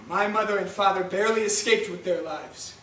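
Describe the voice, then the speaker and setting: High-voiced, solemn old patriot played by a man. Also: fairly quick, a bit bright. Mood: grave. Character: proud, patriotic elderly Puerto Rican parent storyteller, born 1930. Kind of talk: stage play acting. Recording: echoey stage, far microphone, faint noise.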